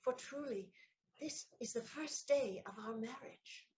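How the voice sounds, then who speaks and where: A woman's voice: on the high side, unhurried, a little mellow, slight background noise, close to an everyday voice, rough recording. A woman playing a gracious countess. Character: gracious noble countess, loving and poised.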